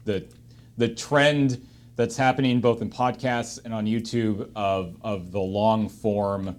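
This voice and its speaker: A man hosting a casual unscripted discussion, speaking haltingly and emphatically and repeating phrases for stress. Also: lowish voice, fairly even intonation. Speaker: host of a panel discussion. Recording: clear room noise behind.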